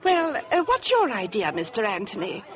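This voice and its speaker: An actress playing a giggly, flustered middle-aged society matron in 1950s American radio drama, coy and fluttery. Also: female voice, on the high side, huge pitch swings, moderate pace, crowd noise. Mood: amused, flustered.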